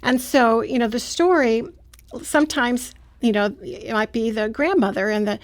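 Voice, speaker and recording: A woman's voice: fairly high pitch, normal speed. A woman describing how her radio program is made, talking at length with frequent fillers. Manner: explains at length with many fillers. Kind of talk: radio interview.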